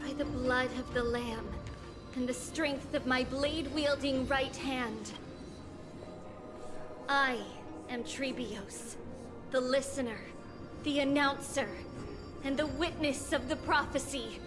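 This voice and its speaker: Casting: young priestess heroine for a fantasy game, high voice, measured delivery, poised and lonely, music beneath. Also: female voice, steady tone. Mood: solemn, resolute. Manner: formal, earnest, poised. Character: solemn young holy maiden, lonely and resolute. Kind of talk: scripted video game cutscene acting. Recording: music behind the voice.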